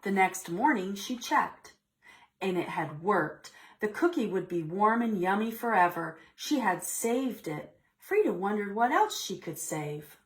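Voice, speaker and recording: A woman's voice: mid-range voice, expressive, measured pace. A woman reads a children's story aloud in a mid-range voice. She tells it expressively, as a librarian would at a preschool storytime, and breaks off to put questions to the young listeners.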